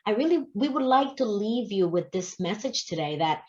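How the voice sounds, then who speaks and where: A woman's voice: mid-range voice, lively intonation, normal speed, darkish tone. A woman in her forties moderating a panel over a video call, affirming and summing up her guests' stories. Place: home office with bookshelves. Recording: dull lo-fi video call audio.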